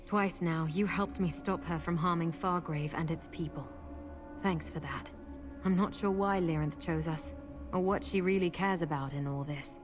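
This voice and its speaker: An actress playing an earnest, determined protector of a city in a fantasy game, plain-spoken and loyal. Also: female voice, on the low side, normal speed, natural voice. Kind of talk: fantasy game character acting. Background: game music.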